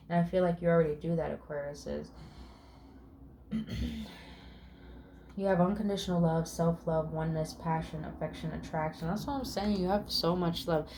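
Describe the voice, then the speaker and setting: A woman with a somewhat low voice reads tarot in a relaxed, chatty way, reeling off card meanings and murmuring "mm" as she goes. She affirms her listeners and swears now and then. A faint hiss of noise sits under her voice. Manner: drawn-out words with murmured "mm". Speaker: tarot reader. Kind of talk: casual spiritual tarot reading.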